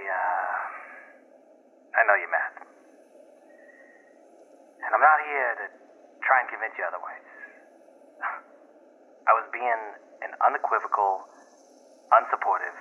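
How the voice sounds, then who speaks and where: An actor playing a flailing, earnest young boyfriend in an audio drama, rambling remorsefully and haltingly in a husky voice. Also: male voice, fairly low pitch, slight background noise. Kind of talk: found-footage audio drama acting. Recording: muffled, lo-fi phone voicemail.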